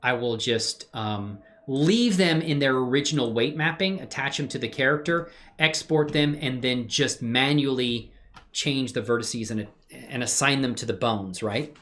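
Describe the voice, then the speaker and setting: A man's voice: mid-range voice, a little slow, a bit bright. A man hosting a casual livestream, chatting effusively with viewers and rambling through his 3D animation work in playful slang. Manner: effusive when greeting viewers, playful slang, muttering and rambling while working. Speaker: livestream host and hobby 3D animator. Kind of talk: casual livestream chat and screen-share tutorial.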